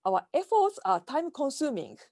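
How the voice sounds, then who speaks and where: A woman with a Japanese accent giving a prepared keynote talk over a video call, speaking in a careful, measured way from slides. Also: high-pitched, sing-song, mellow, dry recording, clean studio sound.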